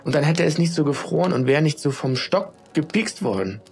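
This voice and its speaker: A man's voice: a little high, lively intonation, brisk, background noise, voice pushed up. A man doing an eager little boy. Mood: earnest. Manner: pleading, earnest explaining. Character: small excited boy, earnest and eager. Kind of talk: storybook read-aloud.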